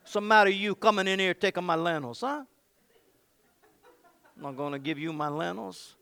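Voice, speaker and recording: A man's voice: high voice, normal speed, vocal fry, bright, forceful tone, clean tone, full character voice. A man voicing a hot-tempered ancient warrior with a mock Italian accent, taunting and blustering. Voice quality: brash, bellowing, rhetorical "huh?" taunts.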